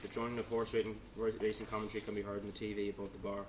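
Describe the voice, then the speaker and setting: A man's voice: lowish voice, little pitch movement, very fast, background noise. A man narrating stage directions at a table read, plainly, with small stumbles as he reads.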